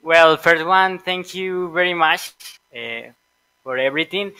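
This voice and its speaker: A Colombian man whose first language is Spanish, speaking English in a Colombian accent, hesitant and halting as an interviewed sim racer. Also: on the high side, bright, forceful tone, clear, resonant tone, no room sound. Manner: hesitant, halting with many fillers. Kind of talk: post-race interview.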